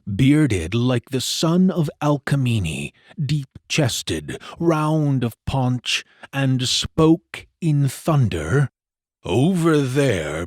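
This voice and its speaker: A man giving a dramatic, deliberate and grandly phrased reading of narrative verse. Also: mid-range voice, a little mellow, dry recording, clean studio sound. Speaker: poetry reader in a group recording.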